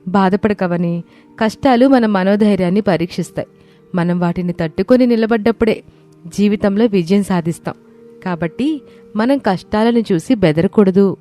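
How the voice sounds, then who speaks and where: A woman playing a calm, encouraging village daughter-in-law in a story. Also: mid-range voice, moderate pace, soft background music, lightly acted. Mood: reassuring.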